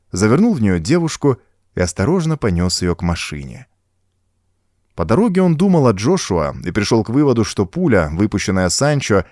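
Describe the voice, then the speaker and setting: A man's voice: fairly low pitch, a little mellow, dry recording, clean studio sound. A man reading a pulp horror story as an audiobook, in a dramatic, scripted storytelling manner. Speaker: audiobook narrator.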